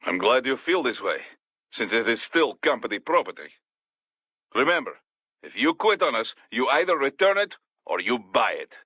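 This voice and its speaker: An actor playing a gruff, businesslike Russian security boss in a video game, with a Russian accent. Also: male voice, mid-range voice, big pitch swings, sharp tone, no echo, heavily acted. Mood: wry, businesslike. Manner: businesslike, measured.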